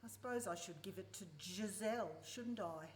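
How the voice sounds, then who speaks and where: A woman's voice: medium pitch, normal speed, lightly acted. A woman playing a prickly, wry ageing farm mother who is protective of her daughter, in radio play dialogue. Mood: wry reminiscing. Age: older. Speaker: actor in a live radio play. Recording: roomy live recording with clear background noise.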